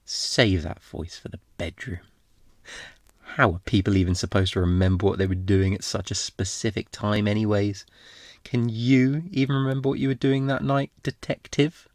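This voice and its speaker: A man playing an obnoxiously flirty, smug thief in an intimate ASMR roleplay, teasing in a playful, theatrical, drawn-out way. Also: lowish voice, fairly even intonation, dry recording, studio quality, natural voice. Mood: amused, mock-incredulous.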